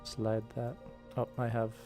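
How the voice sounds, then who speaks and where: Casual male livestreamer over soft music. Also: mid-range voice, completely flat delivery, a little slow. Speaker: livestream host and animator. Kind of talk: casual livestream chat while working. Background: faint background music.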